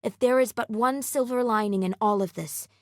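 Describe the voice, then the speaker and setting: An actress playing a solemn, vengeful assassin, last of a secret order, in a video game, speaking in a measured, formal, grave way. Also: female voice, a little high, dry recording, studio quality, natural voice. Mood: bitter, vengeful. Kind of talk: scripted video game character dialogue.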